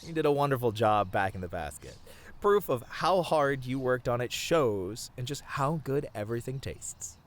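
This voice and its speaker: A man playing a sweet, bashful young boyfriend in a romantic roleplay, talking to the listener in a tender, halting, earnest way. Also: medium pitch, animated, lightly acted. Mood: fond, lighthearted. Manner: soft-hearted, halting, sighing, chuckling, gently earnest.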